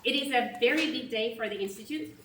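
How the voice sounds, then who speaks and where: A woman with a Russian accent, an institute president giving a formal speech at a reception, measured and emphatic with pauses between phrases. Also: a little high, far from the mic, noisy background. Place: large reception hall with an audience. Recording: echoey hall, voice heard from afar.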